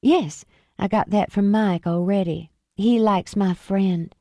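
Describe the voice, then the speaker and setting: A woman's voice: mid-range voice, dark tone, no echo. A woman narrator voicing a friendly, amused young woman with a slight Southern drawl. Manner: smooth, friendly, unhurried.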